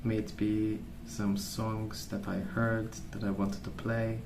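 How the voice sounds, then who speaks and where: A soft-spoken man rambling in an ASMR style, with a hesitant, meandering and unhurried way of recollecting. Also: fairly low pitch, little pitch movement, creaky. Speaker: ASMR video host. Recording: roomy, distant mic, noisy background. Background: pencil colouring sounds, background noise.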